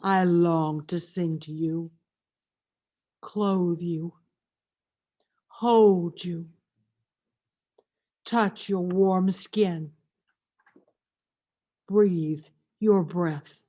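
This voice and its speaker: Very slow, solemn grieving mother, read by a woman. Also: lowish voice, steady tone, mellow. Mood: tender longing. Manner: solemn, measured, reverent. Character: grieving, tender mother addressing her suffering son. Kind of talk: poetic meditation reading.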